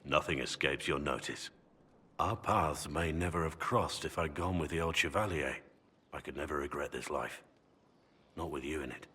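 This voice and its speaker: A man playing a brooding, honourable middle-aged warrior in a video game, with measured, earnest delivery. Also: extremely low pitch, sharp tone, breathy edge, heavily acted. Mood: tender. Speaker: video game character voice actor. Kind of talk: dramatic game dialogue.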